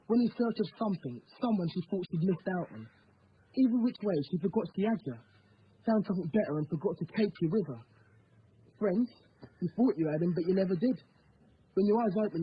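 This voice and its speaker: A man's voice: high voice, dull audio, slight background noise. A man playing a streetwise, haunted homeless youth with a British accent in a drama, needling and probing as he tells stories. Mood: intense, bleak. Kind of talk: dramatic dialogue with storytelling monologues.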